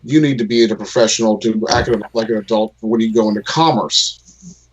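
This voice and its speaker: A man's voice: lowish voice, moderate pace, a little hiss or hum. A man hosting a casual talk show, explaining his view earnestly and at length with restarts and fillers. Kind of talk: casual chat. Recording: lo-fi dull sound.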